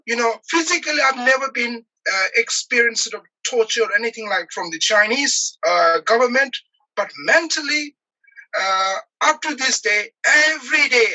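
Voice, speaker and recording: A man in his forties from Nepal, speaking as an interview guest over a video call, earnest and halting with many pauses and fillers. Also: high voice, sharp tone, rough recording. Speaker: guest, activist. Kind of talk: earnest personal account in an interview.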